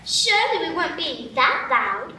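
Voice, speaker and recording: A woman playing a polite, puzzled young girl with a very high, bright voice, asking earnest questions. The voice comes from a distance, off a live stage in a very echoey room. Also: big pitch swings, normal speed, a little hiss or hum, heavily acted. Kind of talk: live radio play acting.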